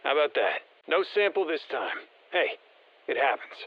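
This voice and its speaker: A man's voice: on the high side, big pitch swings, normal speed, highs cut off. An actor playing a confident, folksy male soldier in a video game, giving colloquial, clipped tactical briefings through a radio filter. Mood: steady, matter-of-fact. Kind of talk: scripted game voicelines, mission briefing.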